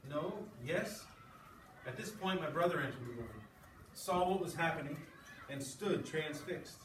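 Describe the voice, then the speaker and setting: Higher-voiced male teacher in an echoey room. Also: expressive, moderate pace. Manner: informal, checking with listeners. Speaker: sixth-grade English teacher. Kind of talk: classroom read-aloud and discussion. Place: classroom. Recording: echoey, noisy classroom, distant mic.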